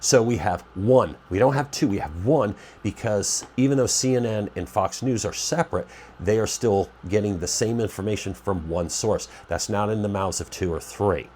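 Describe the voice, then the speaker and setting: A man's voice: fairly low pitch, moderate pace, darkish tone, slight background noise. A man with a stuffy, nasal cold voice, hosting his own preparedness channel in a rambling, conversational way and often correcting himself. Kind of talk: casual talk to camera.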